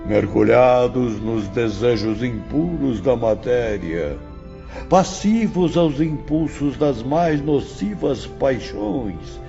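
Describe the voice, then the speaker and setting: A patient, solemn spiritual master in an audiobook drama, unhurried and didactic. His mellow voice has a creaky grain and swells and falls as he instructs, over faint music. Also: medium pitch, animated, highs cut off. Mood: grave, exhorting. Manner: didactic, measured, grandiloquent. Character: solemn, patient male spiritual teacher lecturing his pupils.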